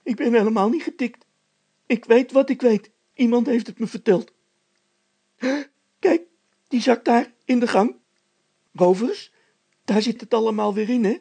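A man voicing a stubborn, hot-tempered housekeeper woman in a storybook, shrill and vehement, repeating herself. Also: a little slow, creaky, pauses often, warm tone, dry recording, exaggerated voice. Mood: defiant.

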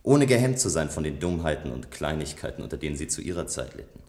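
An actor playing a restless, playful man of a future city in a German radio play, with a measured, musing delivery. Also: a bass voice, sing-song, a little mellow.

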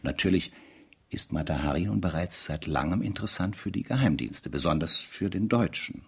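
A man's voice: low-pitched, lively intonation, normal speed, gravelly, husky, exaggerated voice. An actor playing a sardonic, weary male investigator who narrates a spy case theatrically in a radio play. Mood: wry. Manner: sardonic, theatrical, rhetorical asides.

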